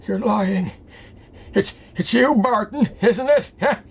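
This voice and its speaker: Quavering, high-pitched frail old man. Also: husky, exaggerated voice. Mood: distrustful. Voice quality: quavering, tearful. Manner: stammering, halting. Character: frail, frightened, lonely old man, distrustful, breaking down. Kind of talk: radio drama acting.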